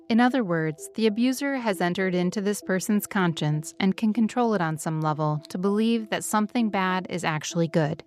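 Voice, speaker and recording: Calm woman narrating a documentary. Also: on the low side. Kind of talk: scripted narration.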